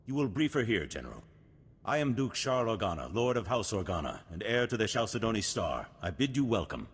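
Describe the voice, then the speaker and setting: An actor playing a noble, resolute duke in a video game, husky, formal and stately in delivery. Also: male voice, fairly low pitch, big pitch swings, a little fast, full character voice. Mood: courtly. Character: noble, resolute older duke, solemn war leader. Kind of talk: cinematic video game voice acting. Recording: long hall reverb, music behind.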